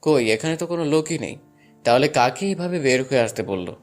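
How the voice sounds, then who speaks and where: A man's voice: a little high, expressive, fast, faint music behind, natural voice. A man playing a bold, urgent young man on a rescue mission in an audio story. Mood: cautious. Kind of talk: Bengali audio story acting.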